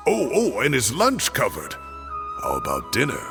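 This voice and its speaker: A cocky old scholar in a game scene. The voice is gravelly and swings wildly up and down as he boasts and bargains, and music plays behind him. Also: medium pitch, huge pitch swings, moderate pace. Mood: defensive. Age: older. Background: background music.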